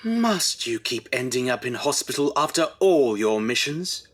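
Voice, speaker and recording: Man acting a lively British husband, gently teasing. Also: medium pitch, measured pace. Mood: dry concern. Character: Edwardian husband, fond, gently teasing, worried. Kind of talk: radio drama acting.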